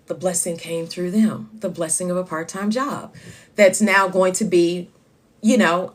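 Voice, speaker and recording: A woman, hosting a casual live chat with a loud voice that carries and reading viewer comments aloud. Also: lowish voice. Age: 50s. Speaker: livestream host.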